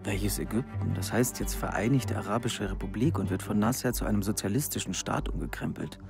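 A man's voice: on the low side, fairly quick, darkish tone, natural voice. A man acting a cynical, know-it-all son in a radio play, music behind him. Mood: scornful.

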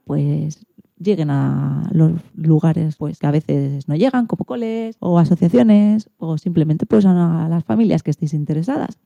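A woman presents a radio show in a casual, colloquial way, talking straight to her listeners. Her voice is lowish and very dark, with a gravelly edge. The recording is dull and lo-fi. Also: no room sound. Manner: chatty, colloquial, addresses listeners directly.

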